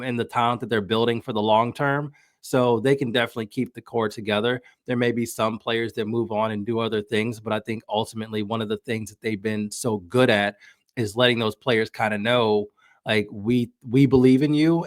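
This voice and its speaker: A man with a mid-pitched voice gives casual sports analysis as a podcast guest. He is conversational and opinionated, working through his thoughts aloud. The sound is dry, with very little room in it. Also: dry recording. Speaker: podcast guest, women's soccer commentator.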